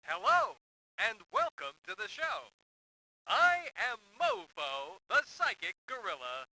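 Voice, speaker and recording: Here is a psychic gorilla from a video game, greeting like a grand stage mystic. The voice is very bright and forceful, slow and swooping in pitch, recorded close and dry. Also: wildly swooping pitch, no echo, full character voice, rough recording. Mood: grand, welcoming. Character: psychic gorilla stage performer, formal and mysterious. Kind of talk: theatrical show greeting.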